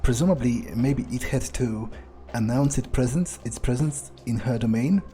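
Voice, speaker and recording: A man roleplays aloud as his game character, sardonic and amused. His voice sits at a middle pitch with a relaxed, dark tone, and he phrases things haltingly over faint background music. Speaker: gamer playing in character.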